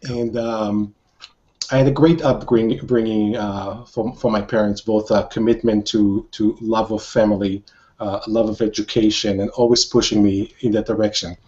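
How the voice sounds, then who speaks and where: A man's voice: lowish voice, level tone, normal speed, darkish tone, lo-fi sound. An older man from Israel, a guest in a conversational interview, talking enthusiastically and repeating words as he restarts sentences.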